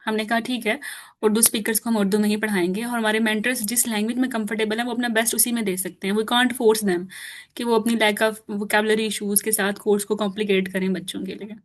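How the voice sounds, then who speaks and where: A woman's voice: medium pitch, little pitch movement, fairly quick. A woman whose first language is Urdu, teaching an informal online class in a chatty way, laughing often and mixing in English. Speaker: course teacher.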